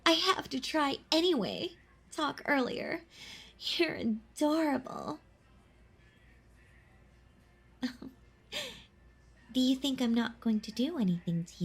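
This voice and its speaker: A woman playing a possessive, teasing vampire in a roleplay audio drama, with a mocking, condescending manner and a breathy, intimate delivery. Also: on the high side, exaggerated voice. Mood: gloating, pitying. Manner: mocking, condescending, slow and deliberate. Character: possessive, teasing female vampire toying with her prey.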